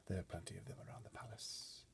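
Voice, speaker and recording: A man voicing a discreet, commanding royal butler from a storybook, whispering his formal, measured orders in a breathy voice. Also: close to an everyday voice. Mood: hushed, commanding. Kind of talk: storybook readaloud character voice.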